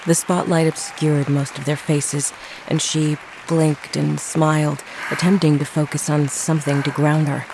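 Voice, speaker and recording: A woman giving a scripted narration of a horror audio drama. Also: deep voice. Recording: music and crowd noise behind narration. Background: music, crowd sounds.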